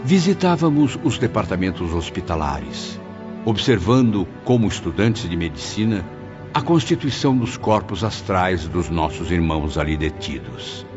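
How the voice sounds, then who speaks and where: A man's voice: deep voice, lively intonation, normal speed. A man playing a tormented spirit who recounts his memories as grave, dramatic first-person audiobook narration. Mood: sober. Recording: dull sound, loud music underneath. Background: loud music.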